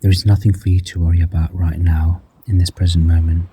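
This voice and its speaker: Man, very deep voice, slow soft-spoken meditation guide, dark husky tone. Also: little pitch movement, slight background noise. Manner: slow, soft-spoken, counting breaths with whispered counts.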